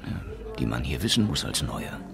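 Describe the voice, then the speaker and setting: An actor playing a self-assured, ingratiating professor in a radio drama, talking smoothly and probingly. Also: male voice, extremely low pitch, sing-song, moderate pace, music in the background, full character voice. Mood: polite, probing. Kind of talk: naturalistic radio drama acting.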